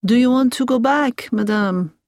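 A woman voicing a gallant French male doctor in a storybook, soft and courteous. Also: a little high, normal speed, no room sound, studio quality, pitched above the performer's own voice, close to an everyday voice. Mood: probing.

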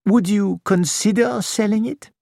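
A reader voicing an elderly male detective who speaks gently and slowly, with a self-assured manner. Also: mid-range voice, vocal fry, no room sound, studio quality, pitched above the performer's own voice. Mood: measured. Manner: gentle, measured, slow.

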